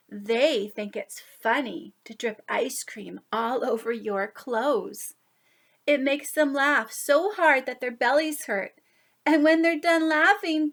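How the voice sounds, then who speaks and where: High-pitched woman reading a children's story. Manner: animated, drawn-out emphasis, asides to listeners. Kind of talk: expressive picture-book read-aloud for young children.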